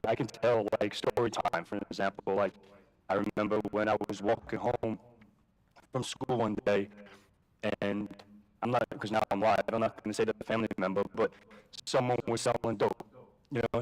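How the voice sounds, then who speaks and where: A man's voice: fairly low pitch, low-quality recording. A man from Queens, speaking casually at a live conference, full of fillers, restarts and swearing. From Jamaica, Queens, New York. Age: adult with a daughter. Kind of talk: personal story talk at a live event.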